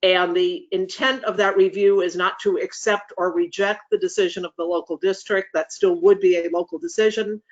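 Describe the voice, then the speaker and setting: A woman giving a policy briefing in a measured, formal, explanatory way. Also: fairly low pitch, steady tone. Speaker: policy presenter. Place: online video meeting. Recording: dull lo-fi video call audio.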